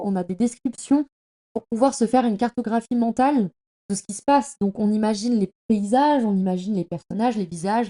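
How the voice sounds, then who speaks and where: Mellow young woman, slangy chatter. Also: mid-range voice. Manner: slangy, effusive, emphatic; halting, stumbling over words. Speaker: podcast host. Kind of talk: casual, chatty monologue about reading.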